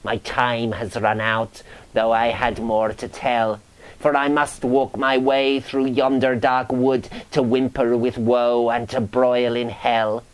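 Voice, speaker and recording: A man's voice: mid-range voice, flat delivery, slow, clean tone, lightly acted, rough recording. A man voicing a grisly, woeful ghost who solemnly prophesies doom in a dramatic reading of medieval romance verse. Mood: doom-laden, woeful. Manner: solemn, incantatory, moaning, preaching.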